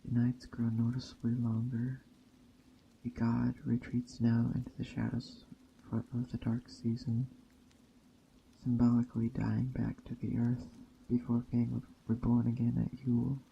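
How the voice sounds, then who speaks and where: A man speaking softly and unhurriedly with long pauses, in an ASMR roleplay as a gentle, hospitable witch. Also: mid-range voice, monotone, vocal fry, dull audio, a little hiss or hum. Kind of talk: soft-spoken ASMR roleplay narration. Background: rain and forest ambience.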